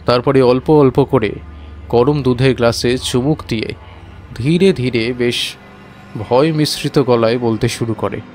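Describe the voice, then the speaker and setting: A man's voice: medium pitch, measured pace, a little mellow, faint music behind. A man giving a dramatic first-person narration of a horror audio story.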